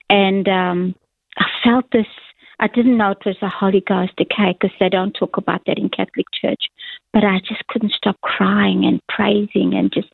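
A woman in her sixties, born in South Africa, tells her story in a chatty, rambling way and laughs often. Her voice has a gravelly fry to it. It comes over a tinny phone line with a dry sound. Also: mid-range voice, no echo. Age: 60s. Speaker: interview guest. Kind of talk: casual testimony interview.

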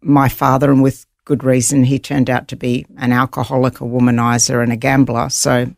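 Woman, New Zealand accent, gravelly, dry close sound, earnest and halting with fillers. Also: rumbling low, flat delivery, darkish tone, dry recording. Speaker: guest. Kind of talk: personal testimony interview.

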